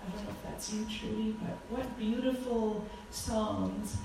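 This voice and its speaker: Loud earnest woman in an echoey church. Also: a little high, fairly even intonation, a little slow, slight background noise. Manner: earnest, fervent, with many ums. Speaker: guest speaker at a church. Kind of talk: church talk. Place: church hall. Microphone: handheld microphone. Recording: echoey hall from far off.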